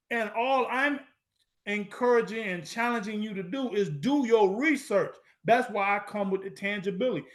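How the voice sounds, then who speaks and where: Male lecturer with a high voice, preachy and emphatic, hammers points by repetition and rhetorical questions, casual grammar. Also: fairly bright tone. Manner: street-style informal grammar. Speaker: lecturer on Moorish American history.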